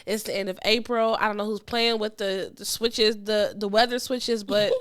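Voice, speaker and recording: A woman co-hosting a podcast in casual chat, congested with a cold, animated and swearing freely. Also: mid-range voice, moderate pace, smooth. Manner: animated, swears freely, restarts mid-sentence. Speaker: podcast co-host.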